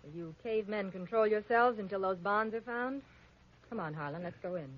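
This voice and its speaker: Scheming femme fatale played by an actress. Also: female voice, medium pitch, a little fast, lightly acted. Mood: sharp. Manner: coaxing, sharp-tongued. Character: beautiful, scheming femme fatale, coy, flirtatious, hard-edged. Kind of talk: old-time radio drama acting. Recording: dull old radio recording, faint noise.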